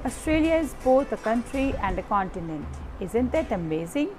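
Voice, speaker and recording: A woman's voice: on the high side, very animated, normal speed, a little mellow, faint music behind. A woman reading out a cheerful boy from a children's textbook, in a friendly, upbeat way.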